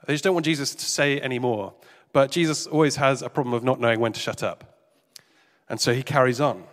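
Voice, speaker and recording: Congested preacher in a reverberant hall. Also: male voice, mid-range voice, a little fast. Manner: gentle, careful, conversational with fillers. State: congested, slightly nasal. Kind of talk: sermon. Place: church service hall. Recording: reverberant hall with faint music.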